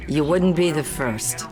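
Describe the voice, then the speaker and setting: Very low-voiced, brusque bar woman. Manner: dry, dismissive, teasing. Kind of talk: video game voice acting.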